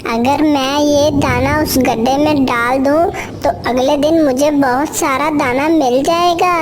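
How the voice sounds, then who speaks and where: Lady doing a tiny cartoon girl bird, really high voice, slow n earnest, sweet. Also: steady tone, crowd noise. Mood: trusting. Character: small female bird, sweet and simple. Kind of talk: children's cartoon character dialogue.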